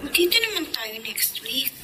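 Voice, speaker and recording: A woman's voice: sits high, big pitch swings, measured pace. A Filipino woman acting in a radio drama as a pleading young woman. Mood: gentle, pleading. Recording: noisy background.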